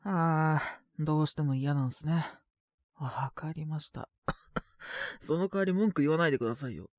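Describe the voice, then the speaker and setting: A man's voice: a little high, moderate pace, lightly acted. An actor playing a sick young man with a cough, grumbling politely to his senior in an intimate Japanese voice drama. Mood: reluctant, pleading. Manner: casual junior speech, mumbled reluctant replies, sighing. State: ill with a cold, frequent coughing fits. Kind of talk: Japanese boys' love situation voice drama, intimate one-sided dialogue.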